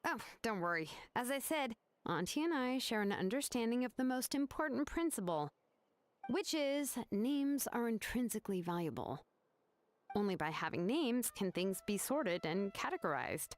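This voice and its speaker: A relaxed young craftswoman, matter-of-fact, lively pitch, game audio. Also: normal speed. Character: young woman craftswoman, laid-back and practical. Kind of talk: video game voice acting.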